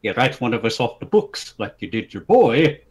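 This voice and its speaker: A man's voice: medium pitch, sing-song, normal speed, slightly rough. A man voicing a grumpy, smug bearded brute who taunts with rustic grammar in a storybook.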